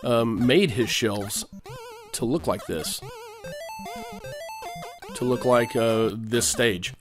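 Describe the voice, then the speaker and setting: A man with a cold giving rambling, casual commentary while playing an old video game, often clearing his throat and using fillers. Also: mid-range voice, lively intonation, takes it slowly. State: has a cold. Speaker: let's play host. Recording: game music behind the voice.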